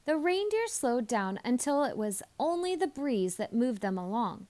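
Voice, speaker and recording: A woman reads a children's storybook aloud in a high voice. Her pitch rises and falls widely, and she puts deliberate, expressive emphasis on key words. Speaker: storybook reader. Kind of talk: animated children's story narration.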